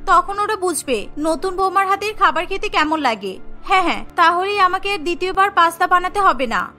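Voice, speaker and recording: A woman's voice: very high voice, lively intonation, a little fast, sharp tone, faint music behind, heavily acted. A woman voicing a lazy, scheming young bride who frets to herself in a Bengali cartoon. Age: young adult. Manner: fretful, musing to herself. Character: lazy, scheming young village bride, anxious about cooking.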